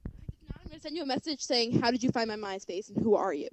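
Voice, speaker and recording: A young woman co-hosting a podcast, jumping in eagerly and chatting fast. Her voice is very high. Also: noisy background. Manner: excitable, interrupting, quick to laugh. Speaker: podcast co-host. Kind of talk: casual chat.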